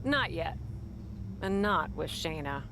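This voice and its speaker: A lowish, hard-edged voice for a ruthless woman wrestler who runs her faction. She is curt and abrupt in game cutscene style, with clear noise behind her. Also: lively intonation, takes it slowly, heavily acted. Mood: cool, decisive. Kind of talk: video game cutscene dialogue.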